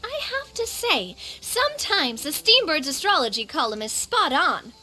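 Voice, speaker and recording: A woman's voice: high-pitched, sing-song, moderate pace, bright, forceful tone, full character voice. A woman voicing an exuberant, confident young lady boss in a video game, bubbly, emphatic and theatrical. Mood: delighted, friendly. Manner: bubbly, emphatic, self-assured. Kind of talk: animated video game dialogue.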